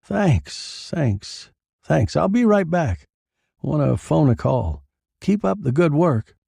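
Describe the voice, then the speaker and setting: A man's voice: fairly high pitch, very animated, takes it slowly, vocal fry, dark tone, breathy edge, dry recording, studio quality, pitched above the performer's own voice, exaggerated voice. A man voicing a pushy, slick young newspaper reporter who fires off snappy, insistent lines in a noir story. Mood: breezy, cocky.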